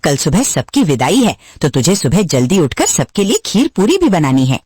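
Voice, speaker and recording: A woman's voice: low-pitched, very animated, takes it slowly, husky, no room sound, studio quality, full character voice. A woman playing a domineering, fault-finding middle-aged mother-in-law in a cartoon moral story. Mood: bossy.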